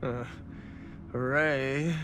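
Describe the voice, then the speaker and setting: A man's voice: medium pitch, steady tone, drawn-out words, fairly bright tone. A man playing a casual, doubtful young guy in a video game, wry and offhand. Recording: long hall reverb, faint music.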